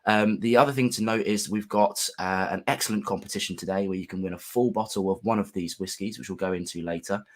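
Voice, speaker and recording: A man with a sore, gravelly throat hosting a casual whisky livestream, friendly and full of ums and restarts. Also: lowish voice, steady tone, quick pace, hardly any pauses.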